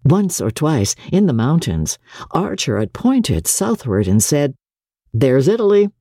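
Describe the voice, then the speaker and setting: Low, husky woman narrating a classic novel. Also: very animated, a little slow, mellow, dry recording, clean studio sound. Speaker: narrator, reader of classic literature. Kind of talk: dramatic audiobook narration.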